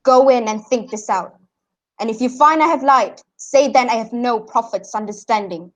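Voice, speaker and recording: A stern, defiant seer of ancient Greece, heavy with grief played by a young female student. The voice is on the high side, delivered as in an amateur radio drama. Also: normal speed, a little hiss or hum, lightly acted, low-quality recording. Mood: stern, accusing. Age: young adult. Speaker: student actor.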